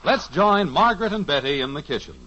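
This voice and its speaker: A man announcing a 1950s radio show in a smooth, formal manner. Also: on the high side, animated, fairly quick, bright, forceful tone. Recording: lo-fi radio transcription with music.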